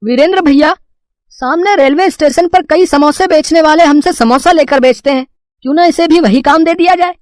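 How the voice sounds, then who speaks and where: A man's voice: very high voice, normal speed, no echo. An actor playing a helpful, deferential male kitchen helper in a cartoon story. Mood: eager, helpful.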